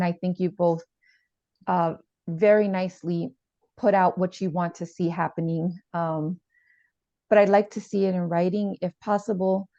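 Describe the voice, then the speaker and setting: A woman playing a calm, supportive family therapist, speaking in a reassuring, slightly hesitant way in a role-played session. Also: fairly low pitch, creaky. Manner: reassuring, hesitant, repeats gently. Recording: echoey room, slightly dull sound.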